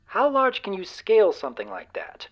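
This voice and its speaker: A woman playing an inquisitive, businesslike team leader in an audio drama, probing and brisk. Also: medium pitch, huge pitch swings, muffled recording. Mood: curious.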